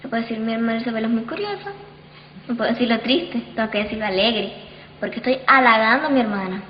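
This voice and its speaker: A woman's voice: fairly high pitch, fast, highs cut off. Emphatic woman in an echoey hall. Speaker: radio actress. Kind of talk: interview talk.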